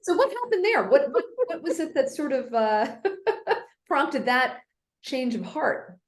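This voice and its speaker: A woman hosts a casual interview, her voice a little high, asking her questions in a loose, restarting way. She sounds like she is in a roomy space with an echo. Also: big pitch swings, unhurried. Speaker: interview host. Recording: echoey room.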